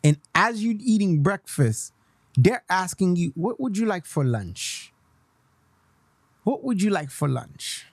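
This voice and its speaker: A man's voice: high-pitched, sing-song, takes it slowly, dry recording. A young man from Haiti, hosting a radio show in a casual, passionate way, switching between English and Creole and repeating himself for emphasis. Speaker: radio host.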